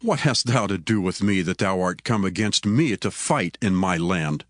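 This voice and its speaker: A man's voice: low-pitched, lively intonation, normal speed, dry recording, clean studio sound. A man playing an indignant, earnest ancient Israelite war leader, speaking in declamatory scriptural style for a dramatized Bible reading. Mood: indignant, challenging. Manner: declamatory, archaic scriptural oratory.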